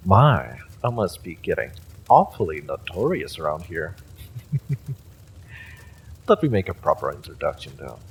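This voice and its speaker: A man's voice: low voice, very animated, unhurried, long pauses, a little hiss or hum, full character voice. A man playing an evil sorcerer in an audio roleplay, smug and silkily menacing, with a mock-polite, gloating delivery. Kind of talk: dramatic villain monologue, audio roleplay.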